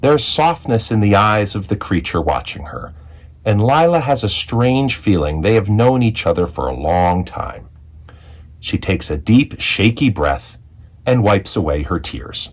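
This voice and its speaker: A man reading a children's picture book aloud as a storyteller, with expressive narration and deliberate dramatic phrasing. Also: lowish voice. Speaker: read-aloud storyteller, school executive.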